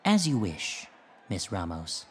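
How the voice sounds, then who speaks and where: A man's voice: sits low, wildly swooping pitch, takes it slowly, slightly rough, exaggerated voice. A man playing a pompous, smug ex-villain. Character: smug, evasive male ex-villain without powers. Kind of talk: comedic audio drama acting.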